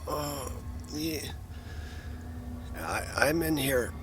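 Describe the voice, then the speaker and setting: A man's voice: on the high side, lively intonation, background noise, voice pushed up. A man doing a dazed, hurt crewman. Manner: halting, stammering. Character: injured dazed male crew member, bleeding.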